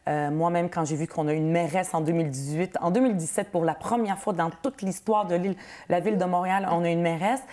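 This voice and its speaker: A woman in her thirties, from Palestine with Arabic as her first language, speaks with a Quebec French accent as an interview guest, earnest and quick to laugh. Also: on the low side, steady tone, dark tone. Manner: earnest, laughs easily, repeats words. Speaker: politician, guest. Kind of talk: interview answers.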